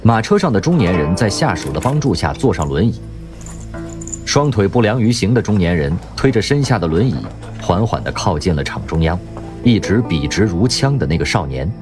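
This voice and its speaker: A man narrating a radio drama in a vivid, expressive storytelling manner. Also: low voice, moderate pace. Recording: music underneath.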